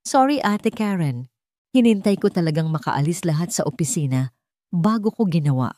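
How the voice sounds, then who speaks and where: A woman's voice: on the low side, animated, normal speed, mellow, no echo, studio quality, close to an everyday voice. A woman with a Filipino accent voicing a nervous, hesitant younger female cousin and deferential accomplice in an audio story. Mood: nervous, apologetic. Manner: hesitant, anxious.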